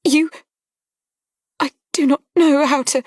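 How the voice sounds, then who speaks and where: A woman's voice: sits high, steady tone, slow pace, darkish tone, dry recording, clean studio sound, pitched above the performer's own voice. A woman reading an audiobook, voicing a fragile young noblewoman who talks in a breathless, tremulous, pleading way. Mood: distressed, tearful. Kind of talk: audiobook character voice.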